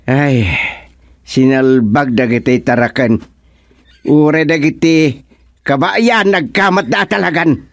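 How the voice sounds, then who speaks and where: High-pitched, blustering older farm uncle acted by a man. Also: slow, long pauses. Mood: excited. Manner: exclamatory, repeats words. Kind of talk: comedic radio drama acting.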